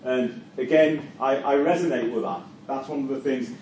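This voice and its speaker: A man's voice: mid-range voice, normal speed, darkish tone. Geordie man lecturing in an echoey room. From Newcastle, England. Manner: hesitant, repeats words, many fillers. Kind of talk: university lecture with class discussion. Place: classroom. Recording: echoey room from far off, faint noise.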